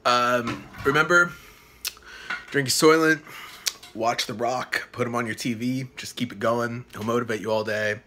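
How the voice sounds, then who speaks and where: A man's voice: mid-range voice, fairly even intonation, bright, forceful tone, a little hiss or hum. Casual youngish dude rambling into his phone at home, lots of uhs and swears. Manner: loose, swearing, halting with fillers. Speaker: vlogger. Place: small apartment room indoors. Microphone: phone camera at arm's length.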